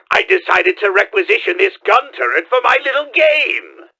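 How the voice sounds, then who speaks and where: A pompous mad scientist villain for a video game. The voice is high for a man and bright, with a gravelly edge. He blusters out orders like a scheming showman, theatrical and exclamatory. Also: heavily acted. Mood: boastful showman. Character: bombastic, scheming middle-aged male mad scientist villain.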